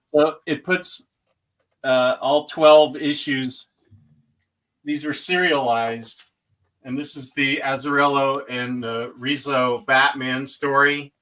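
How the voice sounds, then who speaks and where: A man on a comics podcast panel, slowly walking through a book's contents with enthusiasm and frequent fillers. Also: mid-range voice, fairly even intonation, pushed and bright, rough recording. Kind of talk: casual chat, listing book contents.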